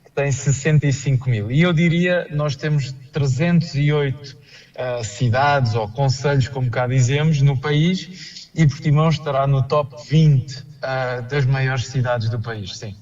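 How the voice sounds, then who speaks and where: A man with a European Portuguese accent answers in a measured, explanatory way, pausing now and then on hesitations. He comes through a muffled, lo-fi call, sounding somewhat distant from the mic. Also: mid-range voice, a little mellow. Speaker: local political candidate. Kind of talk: remote interview answers. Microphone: call line.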